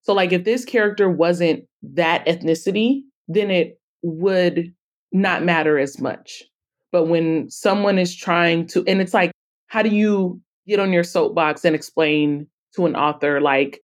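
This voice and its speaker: Low-pitched woman in a conversational interview. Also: measured pace. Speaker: guest author and anthology publisher.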